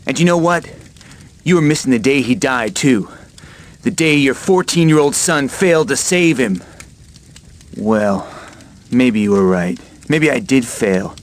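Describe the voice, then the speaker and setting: A man's voice: mid-range voice, expressive, measured pace, natural voice. An actor playing a brooding young soldier hero in a video game, blunt and guarded in his delivery. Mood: bitter. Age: young adult. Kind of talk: video game cutscene acting.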